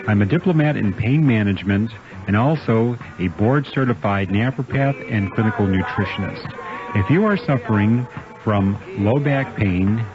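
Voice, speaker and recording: A middle-aged man reading his own scripted radio ad as a doctor, measured, with pauses between items. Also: fairly low pitch, animated. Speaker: doctor advertising his practice. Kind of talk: scripted self-read radio ad. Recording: dull lo-fi radio recording with music. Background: clear music bed.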